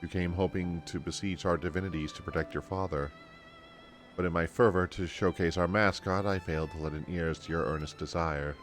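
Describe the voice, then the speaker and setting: Man reading a deep-voiced courtly fantasy storyteller. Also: fairly even intonation, brisk, faint music behind, lightly acted. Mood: kindly, formal. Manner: formal, archaic, kindly phrasing. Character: earnest, courtly female storyteller in a fantasy game. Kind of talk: game dialogue read aloud.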